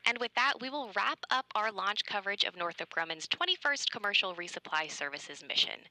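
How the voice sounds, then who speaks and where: A woman's voice: medium pitch, expressive, brisk, pushed and bright, dry recording. A woman hosting live broadcast coverage, presenting in a scripted announcer style. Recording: very noisy relayed broadcast audio.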